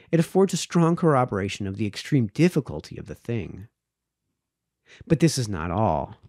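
Male reader, 30s. Deliberate book narration with wide pitch movement and a warm, relaxed tone. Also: no room sound, studio quality. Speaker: reader, host of a read-aloud series. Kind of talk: reading aloud from a book of formal essays. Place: small home office, indoors. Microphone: close boom-arm podcast microphone, headphones.

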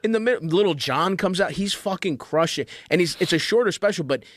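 A man in his thirties, a comedian guest on a podcast, talking in casual, animated chat, swearing often and gushing with enthusiasm. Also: high-pitched, a little fast, no echo. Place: studio with red curtain. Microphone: studio mic, wearing headphones.

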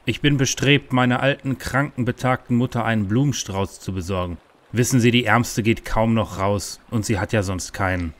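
A man's voice: on the low side, moderate pace, natural voice. An actor playing an unhinged, paranoid conspiracy-minded man with stilted speech in a parody detective audio drama. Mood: stiffly polite. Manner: stilted politeness breaking into paranoid ranting. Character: unhinged paranoid conspiracy-raving man, first stiffly polite.

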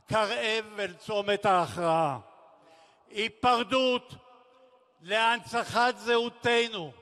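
A middle-aged man from Israel delivers a formal political speech at a memorial rally, solemn and declamatory, in deliberate phrases with emphatic pauses. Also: very high voice, pushed and bright. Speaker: speaker at a memorial rally, former military commander. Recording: large reverberant public-address sound.

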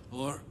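An actor playing a world-weary, sardonic older soldier in a video game, talking in a wry, coarse, informal way. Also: male voice, noisy background. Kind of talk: cinematic video game acting.

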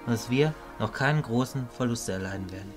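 A man playing a calm, serious, fatherly mentor mage in an audio drama, composed and matter-of-fact. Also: medium pitch, a little fast, soft background music, natural voice. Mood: calm, firm.

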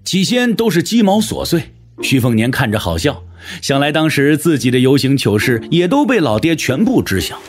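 A man's voice: fairly high pitch, sing-song, moderate pace, faint music behind. A man narrating an audio drama from a script in a dramatic storytelling style.

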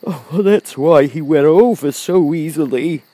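Big cowardly storybook lion, high-pitched and halting. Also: male voice, dark tone, dry recording. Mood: astonished, curious. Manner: drawn-out, halting delivery full of ohs. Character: big cowardly lion, ashamed, dim, easily astonished. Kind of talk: storybook character voice.

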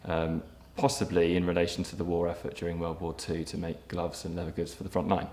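A man in his thirties, a property developer giving a documentary interview, speaking in a measured way with frequent ums and restarts. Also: deep voice, monotone, dark tone. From England. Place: empty brick factory room, indoors, large. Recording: echoey empty room, faint ambience.

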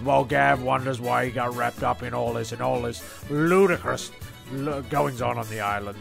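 A male narrator with a forceful, creaky voice at a middling pitch. He tells a comic story in a halting, rambling manner, often backtracking. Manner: halting, digressive, stumbling mock-storyteller.

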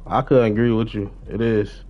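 A man's voice: lowish voice, fairly even intonation, normal speed, faint music behind. Young american guy streaming a game, slangy n chatty, kinda mumbling to himself, dull sounding mic. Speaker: live streamer. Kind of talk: casual gaming livestream chat.

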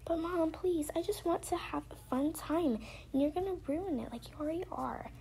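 A woman's voice: very high voice, moderate pace, gravelly, dark tone, voice pushed up. A woman voicing a whiny, defiant young daughter in pretend-play family roleplay, pleading and arguing. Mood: whiny defiance. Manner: whining, pleading, argumentative.

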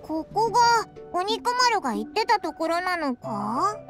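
A woman's voice: very animated, measured pace, full character voice. A woman voicing a tiny, childlike fairy companion in a Japanese anime game, brash and exclamatory with a boyish way of speaking. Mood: curious, grumbling. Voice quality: squeaky, childlike. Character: tiny chirpy childlike floating fairy companion, boastful, impatient. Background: game music.

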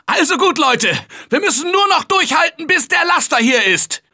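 A man voicing an upbeat office-worker survivor in a video game dub, shouting calls and encouragement to his teammates in an excitable manner. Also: very high voice, normal speed, exaggerated voice. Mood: wary. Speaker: game character. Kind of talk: German-dubbed video game voice lines.

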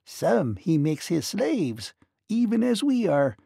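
A man's voice: high voice, sing-song, slow, gravelly, dark tone, slightly rough, dry recording, clean studio sound, voice pushed up, full character voice. A man voicing a small, gloomy enslaved field mouse in a storybook. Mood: grim warning.

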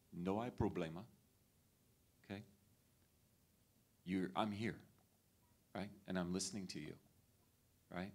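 A man's voice: low-pitched, a little mellow. A middle-aged man, a school superintendent, addressing parents at a meet-and-greet in a library auditorium on a handheld mic, reassuring and checking in with "okay?" and "right?". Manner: reassuring, with frequent tag questions. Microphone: handheld microphone.

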